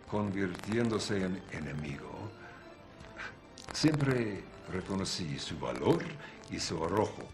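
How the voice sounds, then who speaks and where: A man's voice: deep voice, full character voice. A man playing a dignified, older American general who speaks in formal, clipped statements. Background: music underneath.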